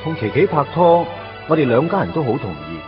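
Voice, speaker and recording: An actor playing a shy, earnest teenage schoolboy in love, narrating and acting in a Hong Kong Cantonese radio drama. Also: male voice, a little high, sing-song, fairly quick. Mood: nostalgic. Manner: hesitant, tongue-tied, earnest. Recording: dull lo-fi radio drama audio. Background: guitar music.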